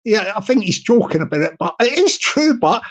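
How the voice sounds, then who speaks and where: A man with a high-pitched tenor voice, talking casually on his livestream and repeating his phrases as he rambles. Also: sing-song, fairly quick. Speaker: YouTuber livestream host.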